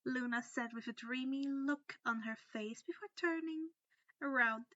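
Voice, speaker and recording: Bright-voiced woman narrating slowly. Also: high-pitched, dry recording, lo-fi sound. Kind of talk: scripted narration of fan fiction.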